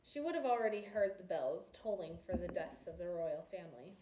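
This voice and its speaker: A woman reading a novel aloud as an expressive scripted narration, recorded in an echoey room. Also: lowish voice, brisk, noisy background. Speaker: audiobook reader.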